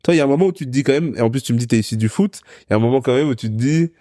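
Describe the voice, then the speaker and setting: Casual male host, quick to chime in, warm timbre, dry close mic. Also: medium pitch, no echo. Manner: chatty, encouraging, quick interjections. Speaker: podcast host. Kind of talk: casual interview chat.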